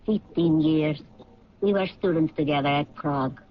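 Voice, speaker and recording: A woman's voice: sits low, normal speed, a little hiss or hum, lightly acted. An actress playing a defensive female political economist in a 1970s radio drama. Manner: curt, defensive. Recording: dull lo-fi 1970s radio recording.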